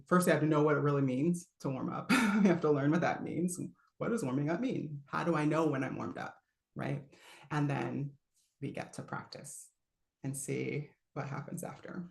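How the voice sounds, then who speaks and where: A woman with a low voice teaches a live online singing lesson in a relaxed, chatty way. She laughs often and stops to demonstrate sounds. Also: vocal fry, reverberant.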